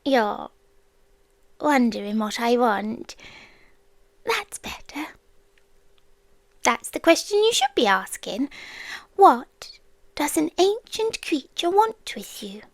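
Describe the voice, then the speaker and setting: Slow, high-voiced British woman as a possessive stalker creature. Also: big pitch swings, no room sound, heavily acted. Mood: teasing menace. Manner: deliberate, teasing, hushed, with drawn-out pauses. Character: ancient female stalker creature, possessive, watchful, tenderly menacing. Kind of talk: slow intimate ASMR roleplay, often dropping to whispers. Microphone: close microphone, dry.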